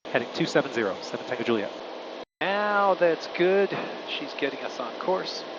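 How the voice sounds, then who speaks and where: A man's voice: fairly high pitch, expressive, moderate pace, highs cut off, background noise. A man speaking as a pilot in a small aircraft over a headset, mixing casual explanation with clipped radio calls. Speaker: pilot and video host. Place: small aircraft cockpit in flight. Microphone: aviation headset.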